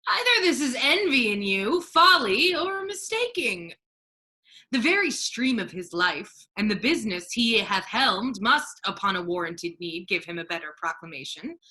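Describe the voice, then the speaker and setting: Bright, dignified friar, played by a woman. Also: medium pitch, big pitch swings. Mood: indignant. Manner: measured, formal, righteous. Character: Duke disguised as a male friar, dignified, indignant. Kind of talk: staged reading of Shakespeare.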